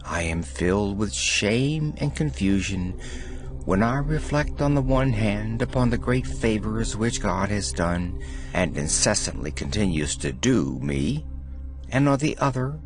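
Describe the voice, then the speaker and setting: Male audiobook narrator, very deliberate pacing, measured and solemn, faint music underneath. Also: on the low side. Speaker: audiobook reader. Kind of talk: scripted reading of devotional letters.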